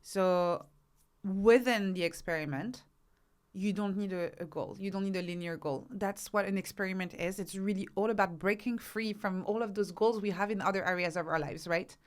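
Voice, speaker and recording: Clear-voiced woman giving emphatic advice. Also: mid-range voice, normal speed. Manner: emphatic, uses repetition and rhetorical questions. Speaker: podcast guest, expert author. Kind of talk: conversational explanation and advice.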